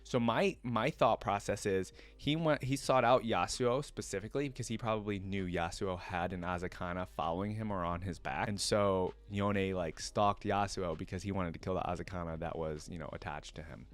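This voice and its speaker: A man's voice: deep voice, normal speed, hardly any pauses. A man hosting a reaction video, reading a fantasy story aloud and breaking off for casual comments.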